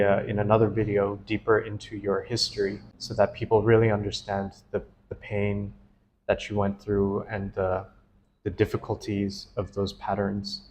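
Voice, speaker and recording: A man's voice: on the low side, a little hiss or hum. A man, a dream analyst, interviewing a client in an earnest, enthusiastic and easily laughing way. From United States. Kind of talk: casual interview.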